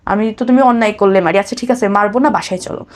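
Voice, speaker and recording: A woman's voice: mid-range voice, fast talker. A woman with a Bangladeshi accent telling her own life story conversationally as an interview guest, acting out what people said.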